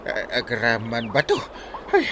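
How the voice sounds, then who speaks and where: A bumbling, excitable village captain from a radio comedy drama. He has an Ilocano accent and stammers evasively, his pitch leaping all over. There is clear noise behind him. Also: unhurried. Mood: dazed, distressed. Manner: stammering, hesitant, exclaiming. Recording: noticeable background noise.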